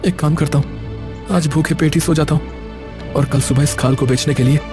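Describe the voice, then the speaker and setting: A poor shepherd from a cartoon moral tale, played by a man, with a high-pitched male voice. He is humble, tender-hearted and anxious, pleading hesitantly over clearly audible music. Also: fairly even intonation, normal speed, close to an everyday voice. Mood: dejected. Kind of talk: Hindi animated moral story dialogue.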